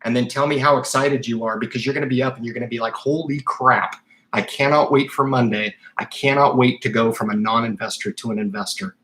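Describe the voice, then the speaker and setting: Male, 30s, livestream host, casual and animated, rambles through chat questions, average pitch. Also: brisk, poor audio. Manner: animated, chatty, frequent fillers and restarts.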